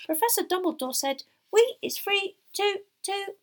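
A woman voicing a small, nervous, devoted male house elf for a storybook, squeaky, deferential and excitable, with broken grammar. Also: unhurried. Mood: earnest, confidential.

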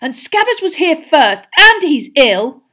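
Lady doing a grumpy hot-headed teen boy in a storybook, loud n indignant, pitched up. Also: sing-song, measured pace, exaggerated voice. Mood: irritated. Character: irritable teenage schoolboy, hot-tempered and indignant. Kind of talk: audiobook character voice.

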